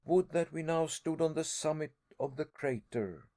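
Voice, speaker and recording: A man voicing a desperate young explorer who begs his uncle to turn back, crying out in excitement. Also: a little high, measured pace, pitched above the performer's own voice. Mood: pleading. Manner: excited, pleading cries. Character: young male nephew explorer, desperate and pleading.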